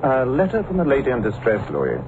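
An actor playing a suave, courteous gentleman sleuth with dry wit in a 1950s radio drama. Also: medium pitch, wildly swooping pitch, brisk, muffled recording. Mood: dry, wry. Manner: polished, dry-witted, polite. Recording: noisy, band-limited old radio transcription.

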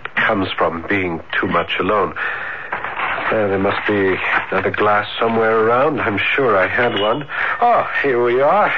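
A man acting a tormented, low-voiced young scholar. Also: close to an everyday voice. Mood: apologetic, nervous. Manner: halting, stammering, fervent, literate. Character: lonely, nervous, excitable young scholar, tormented idealist. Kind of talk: radio drama acting, first-person Gothic narration and dialogue. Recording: dull, noisy vintage radio broadcast.

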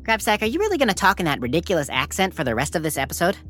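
A woman's voice: lowish voice, big pitch swings, very fast, a bit bright, faint music behind. A woman playing a nervous, exasperated sidekick in disguise in a children's audio comedy. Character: grumbling, reluctant sidekick disguised as garbage man Howard Hopper.

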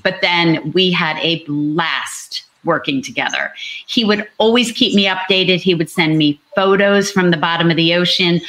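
A woman's voice: on the low side, a bit bright, rough recording. A woman novelist talking about her work on a panel, earnest and storytelling, repeating phrases for emphasis. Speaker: novelist, interviewee. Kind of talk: animated book talk on an online panel.

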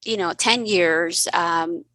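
Woman from mexico, spanish first language, bright lively voice, laughs her way through stories, dry close sound. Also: on the low side, takes it slowly, no room sound. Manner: animated, laughing storyteller. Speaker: guest physician. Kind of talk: casual storytelling interview.

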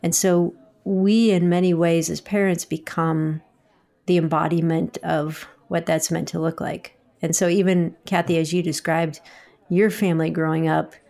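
A woman in her forties hosting a faith-based interview, measured and reflective in how she speaks. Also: fairly low pitch, flat delivery, gravelly, a little mellow. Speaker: host.

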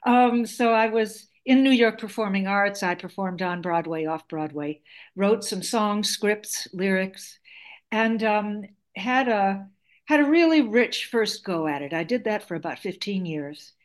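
A woman's voice: medium pitch, lively intonation, measured pace. Woman in her 70s, Greek first language, articulate and reflective interview guest. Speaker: guest author. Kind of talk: interview storytelling.

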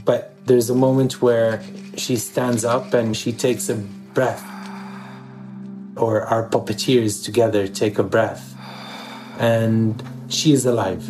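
A man from East Jerusalem talks reflectively as an interview guest, with a medium-pitched voice. He is earnest and repeats words to stress them. Faint music plays behind him. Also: a little mellow, low-quality recording. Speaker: interview guest, theater director.

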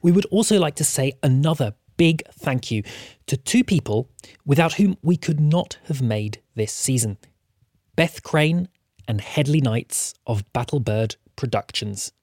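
Male podcast host for scripted intro. Medium pitch, earnest emphasis, tight dry recording with no room sound. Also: expressive, unhurried, a little mellow, no echo. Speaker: podcast creator introducing the episode.

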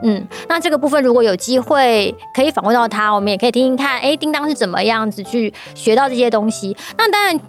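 Higher-voiced Taiwanese woman hosting a podcast. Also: animated, fast, few pauses, soft background music. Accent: Taiwanese Mandarin. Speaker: podcast host. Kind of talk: casual podcast chat.

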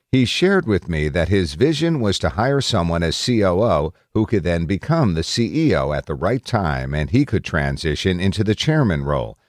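A man's voice: deep voice, dry recording, clean studio sound. A man narrating a scripted news article as a reader.

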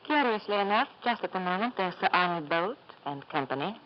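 A woman's voice: fairly low pitch, expressive, brisk, lightly acted. On a dull, faintly noisy old radio recording, an actress plays a cool, clever secretary. She is formal and dryly poised, with widely moving intonation. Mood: dryly flirtatious.

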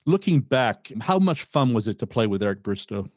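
A man's voice: medium pitch, big pitch swings, normal speed, dry recording, studio quality. A man hosting a podcast, asking his guest brief prepared questions. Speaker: podcast host. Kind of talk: interview questions.

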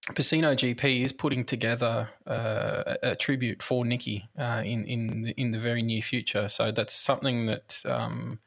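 Male podcast host, mid-range gravelly voice, casual and hesitant phrasing. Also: medium pitch, flat delivery, moderate pace, few pauses, a bit bright. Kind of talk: casual panel chat.

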